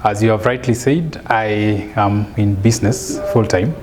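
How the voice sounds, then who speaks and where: A man in his thirties with a Kenyan accent, a guest in a studio interview, telling stories in a measured way with fillers and restarts, on a lapel mic. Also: fairly low pitch, a little mellow, faint music behind. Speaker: guest, author and business speaker. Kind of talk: storytelling interview answer. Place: studio set, seated in an armchair.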